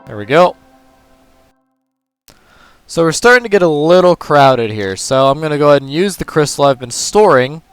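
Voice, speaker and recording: A man with a hoarse, tired voice, casually talking through a puzzle game move by move as a gaming channel host. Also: fairly high pitch, normal speed, pauses often, no echo, soft background music. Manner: relaxed, talks through moves step by step. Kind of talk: casual gameplay commentary.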